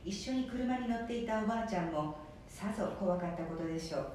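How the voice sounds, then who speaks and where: Female radio drama narrator, scripted, polite delivery, middle register; far from the mic, strong room echo. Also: darkish tone. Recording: very echoey, distant, faint rumble noise.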